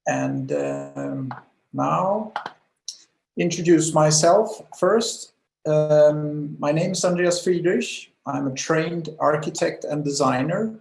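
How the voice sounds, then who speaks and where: A man's voice: fairly high pitch, takes it slowly, gravelly, darkish tone, reverberant, rough recording. A middle-aged man from Denmark, a designer, presenting slides over a video call with many hesitant fillers. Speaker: guest presenter, automotive designer.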